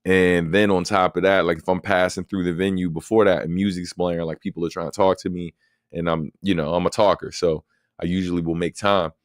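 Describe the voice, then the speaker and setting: Deep-voiced man, relaxed and chatty. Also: fairly quick, no echo, studio quality. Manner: relaxed, talkative, many fillers. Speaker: host. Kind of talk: casual livestream Q&A.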